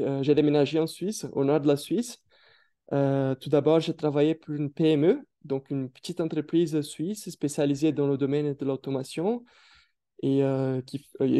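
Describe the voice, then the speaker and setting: Man, thirties, very mellow dark tone, slightly high pitch, halting with many fillers, video call. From Brazil. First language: Portuguese. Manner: hesitant, many fillers and restarts. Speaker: guest speaker. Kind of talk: career testimony on a webinar.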